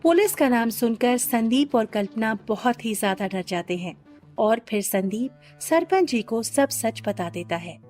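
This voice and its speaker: A woman's voice: a little high, lively intonation, a little slow, darkish tone, faint music behind. A woman giving scripted narration for a children's cartoon story.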